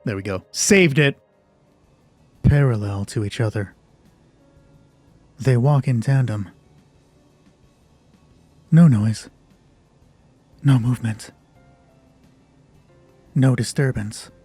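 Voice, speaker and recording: A man narrating a solo tabletop horror roleplay as game master in measured, short descriptive phrases. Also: medium pitch, warm tone, slightly rough, no room sound. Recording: music behind the voice. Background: music.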